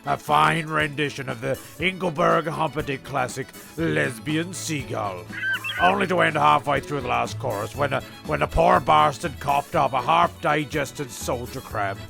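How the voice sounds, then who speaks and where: A man's voice: on the high side, a little slow, vocal fry, over music. A man narrating a comic story as host, mock-dramatic and rambling.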